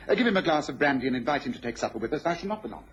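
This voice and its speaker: An actor playing a keen, self-assured Victorian gentleman detective in a radio drama, brisk and emphatic, with a British accent and a husky voice. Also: medium pitch, sing-song, muffled recording, a little hiss or hum, natural voice. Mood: musing.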